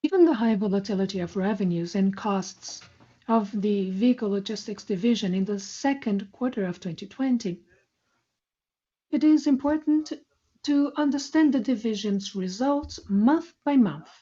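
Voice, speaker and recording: Relaxed, mellow woman presenting on lo-fi audio. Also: medium pitch, normal speed. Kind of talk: scripted corporate financial presentation. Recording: muffled, lo-fi conference call audio.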